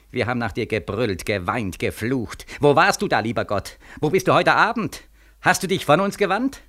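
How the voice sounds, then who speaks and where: Young war-broken soldier, 1940s radio drama: bitter, accusing, gravelly edge, wide swings of pitch. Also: male voice, fairly bright tone. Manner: insistent, rhetorical questioning. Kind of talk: 1940s German radio play acting.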